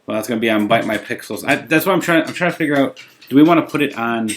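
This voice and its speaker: A man's voice: mid-range voice, animated, quick pace. A man hosting a podcast in a casual, jokey, self-deprecating chat with his friend. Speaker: podcast host.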